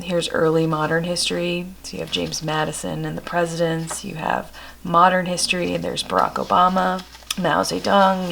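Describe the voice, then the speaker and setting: Hoarse woman vlogger, slightly gravelly. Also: fairly low pitch, a bit bright, a little hiss or hum. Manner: friendly, chatty, detailed show-and-tell. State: hoarse from a cold. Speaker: homeschool vlogger. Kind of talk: casual product review.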